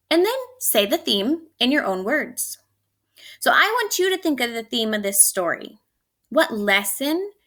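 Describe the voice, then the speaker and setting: Bright, very animated woman teacher. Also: on the high side. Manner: expressive, addressing children directly. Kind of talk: storybook read-aloud and lesson for children.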